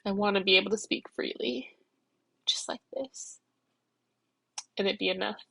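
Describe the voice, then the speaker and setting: A woman's voice: medium pitch, fairly even intonation, moderate pace, gravelly, pushed and bright, breathy edge, dry recording, low-quality recording. A middle-aged woman talking through her thoughts in a personal vlog, rambling and self-reflective while crying and congested. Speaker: vlogger. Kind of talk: emotional personal vlog, external processing.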